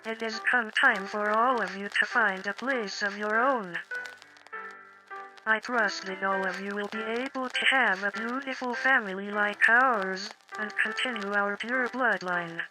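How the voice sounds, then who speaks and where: A woman voicing cold, disdainful swans in a dark fairy tale, with haughty, measured delivery. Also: medium pitch, lightly acted, rough recording. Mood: proud, formal. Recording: clear music under the voice.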